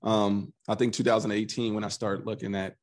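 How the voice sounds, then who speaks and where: A man's voice: fairly low pitch, fairly quick. A man talking as a podcast guest, telling his story earnestly and acting out what he once said, often restarting his sentences. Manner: earnest, animated storyteller who voices his past self in quotes; frequent restarts and repeated words. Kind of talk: casual conversational interview.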